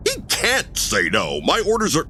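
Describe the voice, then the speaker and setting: Actor as animated, commanding spaceship captain. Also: male voice, fairly high pitch, normal speed, slight background noise, full character voice. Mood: official, firm. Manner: commanding, official, clipped. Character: brisk, dutiful, exasperated male spaceship commander. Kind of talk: 1950s science-fiction audio drama parody.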